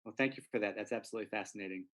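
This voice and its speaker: A man's voice: on the low side, rapid-fire, fairly bright tone. A man from Belgium who later moved to the United States, chatting casually as an interviewing reporter.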